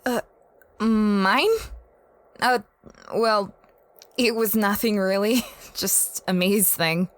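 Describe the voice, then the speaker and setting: A woman's voice: medium pitch, steady tone, very slow, long pauses, no echo. A woman playing a young female space adventurer in an audio drama, conversational and a little hesitant, anxious and evasive. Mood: evasive, puzzled. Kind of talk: audio drama acting and narration.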